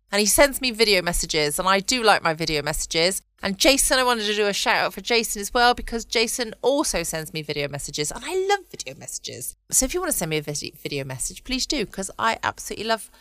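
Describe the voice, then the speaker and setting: Bright, clear woman podcasting. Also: medium pitch, very animated, brisk, hardly any pauses, dry recording. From United Kingdom. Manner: rambling, self-deprecating, asking tag questions. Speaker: podcast host. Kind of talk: casual late-night chat.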